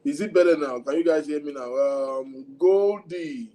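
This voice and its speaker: A man's voice: a little high, unhurried, warm tone. A man with a Nigerian accent hosting a casual livestream, rambling and talking straight to his viewers, with what he calls a big voice. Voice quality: self-described big voice. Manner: rambling, code-switching, chatty, talks to viewers directly. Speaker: livestream host.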